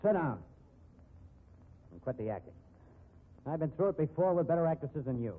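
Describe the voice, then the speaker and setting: A man's voice: a little high, sing-song, moderate pace. Hectoring male blackmailer for 1950s radio crime drama: slangy, swooping delivery, thin vintage sound. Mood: bullying. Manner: hectoring, sneering, slangy tough-guy talk. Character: crooked bullying male private investigator, sneering blackmailer. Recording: tinny band-limited 1950s radio recording.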